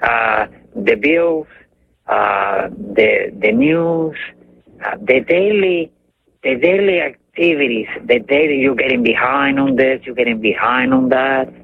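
An older man from Cuba with a Cuban accent, calling in to a radio show and talking haltingly, searching for words. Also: mid-range voice, big pitch swings, creaky, breathy edge. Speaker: caller. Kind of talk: call-in radio chat. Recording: tinny phone line with faint noise.

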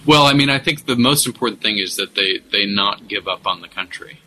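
A man's voice: on the low side, brisk, pushed and bright, faint music behind, rough recording. A man speaking as a guest in a radio interview, with many restarts and fillers. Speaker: guest commentator.

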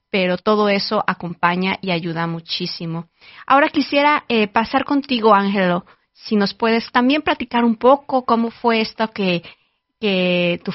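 A woman's voice: mid-range voice, dry recording. A woman hosting an interview, speaking admiringly and conversationally. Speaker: host. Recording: dry, dull sound.

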